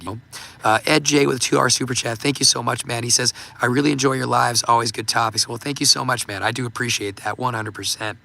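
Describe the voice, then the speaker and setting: Male livestream host, hoarse and gravelly with a bright edge; rambling, halting delivery full of restarts. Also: mid-range voice. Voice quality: gravelly, voice giving out. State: hoarse, losing his voice. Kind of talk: casual livestream talk reading super chats.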